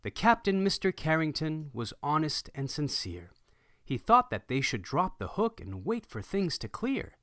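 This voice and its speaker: A man reading a rhyming picture book aloud with an expressive sing-song rhythm. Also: fairly high pitch, normal speed, no echo, studio quality. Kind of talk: rhyming read-aloud narration.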